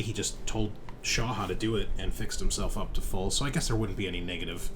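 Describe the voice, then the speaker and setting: A man acting as game master, explaining things informally in a casual tabletop roleplaying session. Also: lowish voice.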